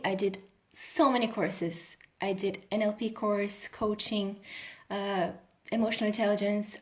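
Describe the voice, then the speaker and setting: A woman in her thirties from Brazil, a healing coach talking casually on a live video and laughing often. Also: mid-range voice. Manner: chatty, laughs often, restarts sentences.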